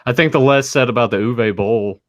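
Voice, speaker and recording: A man's voice: mid-range voice, brisk, no echo. A man hosting a podcast in a casual, conversational style, steering the discussion among friends. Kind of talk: casual chat.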